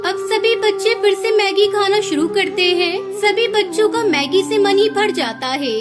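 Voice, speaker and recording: A woman's voice: extremely high pitch, normal speed, over loud music. A woman narrator reading a scripted children's story.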